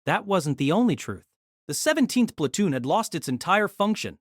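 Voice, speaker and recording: A woman's voice: lowish voice, big pitch swings, moderate pace, no echo, clean studio sound. A woman narrating a scripted novel as a dramatic storyteller.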